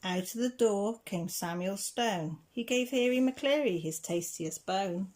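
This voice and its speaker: A woman reading a children's rhyming picture book aloud with measured, deliberate phrasing. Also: medium pitch, a little mellow. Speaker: reader of children's picture books. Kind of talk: storybook read-aloud.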